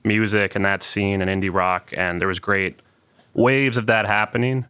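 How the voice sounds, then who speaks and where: A man with a low but bright, smooth voice, talking as a guest musician in a casual interview. He is easygoing and agreeable, stringing thoughts together with small fillers. The recording is close and dry. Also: steady tone, normal speed, no room sound. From North Carolina. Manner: easygoing, affirming, filler-heavy. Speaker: band member guest.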